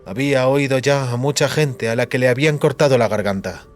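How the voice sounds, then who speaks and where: A man's voice: mid-range voice, a little fast, faint music behind. A man narrating a fantasy audiobook in a dramatic, scripted style.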